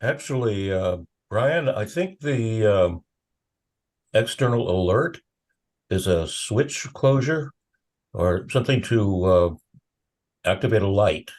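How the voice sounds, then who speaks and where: A man in a casual meeting discussion, speaking haltingly with pauses mid-thought as a participant. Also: lowish voice, animated, darkish tone. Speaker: meeting participant asking questions.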